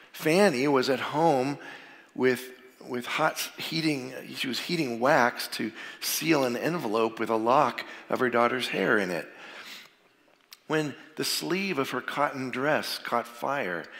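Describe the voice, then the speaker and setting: A man's voice: mid-range voice, a bit bright. A male pastor getting over a cold, sounding a little froggy, giving an informal sermon and prayer with frequent fillers. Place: church sanctuary. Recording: long reverb of a large hall.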